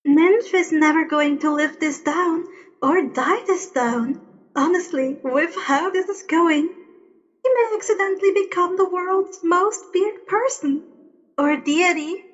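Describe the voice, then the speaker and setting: A woman's voice: very high voice, a little slow, voice pushed up. A woman voicing the chatty, wry ghost of a past hero in a story reading, with long reverb. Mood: amused. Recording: dull, long echoing reverb.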